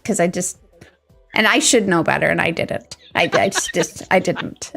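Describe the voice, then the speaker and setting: A woman chatting casually on a panel, animated and self-deprecating, repeating herself for emphasis. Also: fairly low pitch, normal speed, creaky, faint music behind. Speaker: talk show panelist. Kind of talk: casual chat.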